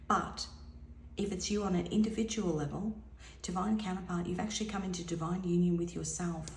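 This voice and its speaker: A woman giving an intuitive tarot reading in a musing, soft-spoken way, her voice a little hoarse and now and then dropping to a near whisper. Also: fairly low pitch, steady tone, brisk, roomy sound, a little hiss or hum. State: slightly hoarse, losing her voice. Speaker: tarot reader.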